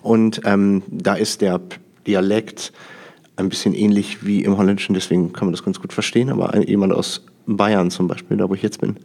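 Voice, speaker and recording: A man from the Lower Rhine in Germany hosting a conversational interview, asking informal, rambling questions that often end in tag questions. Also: level tone, fairly quick, gravelly, a little mellow. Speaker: podcast interviewer.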